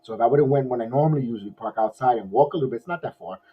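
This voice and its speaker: Hoarse man, husky and lo-fi. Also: medium pitch, rapid-fire. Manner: rambling, many restarts, excited fan. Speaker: podcast host. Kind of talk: casual post-game sports talk. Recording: dull lo-fi audio.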